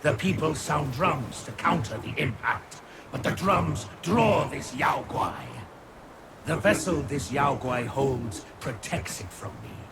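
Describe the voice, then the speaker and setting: An actor playing a commanding, scheming warlord in a video game, speaking in a theatrical, formal, archaic way. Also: male voice, a little high, takes it slowly, very noisy. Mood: grim, solemn. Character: commanding, scheming warlord seeking an ally against a foe. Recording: loud music under the voice.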